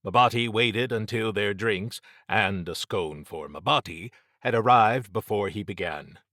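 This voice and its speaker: A man's voice: fairly low pitch, a little slow, sharp tone, dry recording, studio quality. A man reading scripted story narration as an audiobook narrator.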